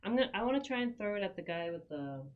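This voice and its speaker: A woman's voice: mid-range voice, lively intonation, racing, narrow, tinny audio. A woman chatting casually as a player in a tabletop role-playing game.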